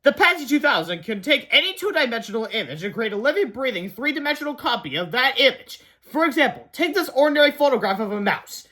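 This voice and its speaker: A high-pitched schoolboy genius, acted by a man reading aloud, eager and boastful. He presents his gadget in a showy, lecturing way, like a proud little professor from a children's storybook. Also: sing-song, fast, hardly any pauses, sharp tone, heavily acted.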